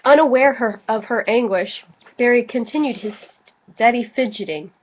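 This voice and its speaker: A woman's voice: fairly high pitch, normal speed. A tipsy woman giving a theatrical, mock-dramatic reading of comic fan fiction, prone to giggling. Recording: slightly dull lo-fi home recording.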